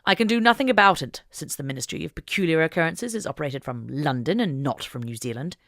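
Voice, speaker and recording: A woman's voice: low voice, expressive, quick pace, fairly bright tone, dry recording, studio quality. A woman voicing a stern, pompous prime minister in an audiobook story, scolding and threatening.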